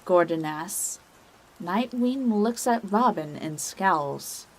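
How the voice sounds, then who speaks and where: A woman's voice: fairly low pitch, expressive, takes it slowly, slight background noise. A woman reading scripted narration for an amateur audio drama story.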